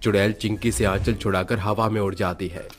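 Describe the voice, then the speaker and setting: A man narrating a spooky cartoon story in a steady, dramatic scripted style. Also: lowish voice, brisk, loud music behind the voice.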